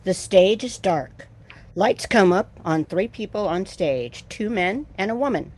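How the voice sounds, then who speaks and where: A woman's voice: mid-range voice, lively intonation, unhurried, a little mellow. A woman reading scripted stage directions as narrator of a play reading. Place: video call.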